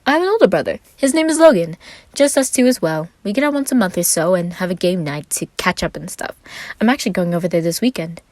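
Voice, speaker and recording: A woman's voice: on the low side, animated, fast, a little mellow, dry recording, natural voice. A woman voicing an exuberant, theatrical young man in a fan fiction reading, with a dramatic, effusive delivery. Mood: friendly, upbeat.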